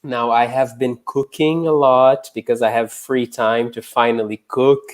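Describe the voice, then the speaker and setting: A man's voice: medium pitch, a little slow. Chatty man teaching English live. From Brazil. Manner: relaxed, chatty, frequent uh and um. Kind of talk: live online English lesson chat.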